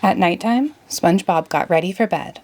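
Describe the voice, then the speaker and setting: A woman reading a children's story aloud in an expressive, deliberate way. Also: fairly low pitch. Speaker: storybook reader. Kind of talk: children's read-aloud narration.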